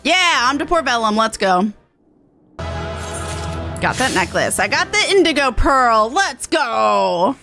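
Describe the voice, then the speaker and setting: A woman's voice: fairly high pitch, sing-song, takes it slowly, vocal fry, long pauses, bright, forceful tone. A woman streaming a game, chatting casually in a blunt, playful, mildly sweary way. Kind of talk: casual gaming stream chat. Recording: game music behind the voice.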